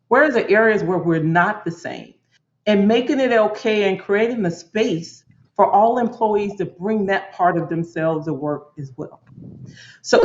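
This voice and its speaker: A woman speaking as a panelist in a reflective, deliberate and earnest way. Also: medium pitch. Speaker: panelist, corporate leader. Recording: roomy, muffled lo-fi audio.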